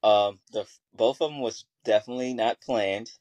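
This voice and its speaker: A man in his twenties from Virginia, a panel guest, telling his own story in a soft, hesitant way with lots of fillers. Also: mid-range voice, steady tone, normal speed, no room sound. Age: 20s. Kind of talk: casual personal storytelling.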